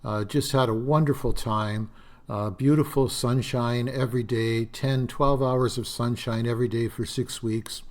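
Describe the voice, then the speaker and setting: A man in his sixties hosting a solo podcast, talking in a rambling, reflective way with frequent fillers; his voice is slightly raspy and dry. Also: medium pitch, steady tone, measured pace, a little mellow. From Canada. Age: 60s. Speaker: podcast host.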